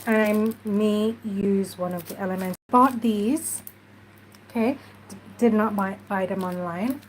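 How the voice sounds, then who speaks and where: A woman talks slowly and unhurriedly, a little stuffy in the nose. She chats casually as she works through a craft project, with faint room ambience behind her. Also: mid-range voice, a little hiss or hum, poor audio. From Jamaica. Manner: chatty, unhurried, talks while working. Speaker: craft journaling host. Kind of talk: casual craft tutorial chat.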